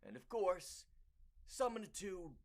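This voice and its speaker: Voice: mid-range voice, sing-song, takes it slowly. A voice actor playing an ominous, knowing expert on demons in a demo reel.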